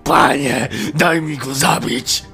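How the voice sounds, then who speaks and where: A man's voice: a little high, slow, gravelly, bright, forceful tone, breathy edge, voice pushed up, exaggerated voice. A man voicing a crazed, pleading henchman in a manga story, strained and begging. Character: crazed male henchman, begging his master to kill.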